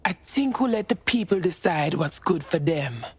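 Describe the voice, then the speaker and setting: An actress playing a resolute, confident resistance fighter in a video game cutscene. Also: female voice, on the low side, animated, normal speed, slightly rough, dry recording. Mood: firm, defiant.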